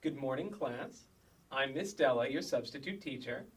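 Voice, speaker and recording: A man playing a polite female substitute teacher with measured, formal speech in a sketch comedy reading. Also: medium pitch, expressive, a crowd in the background, lightly acted. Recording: slightly distant microphone.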